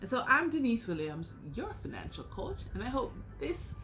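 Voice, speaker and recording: A woman's voice: high voice, very animated, moderate pace, a bit bright, faint music behind, poor audio. A woman giving explanatory financial-coach style advice with emphatic phrasing. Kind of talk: scripted educational advice segment.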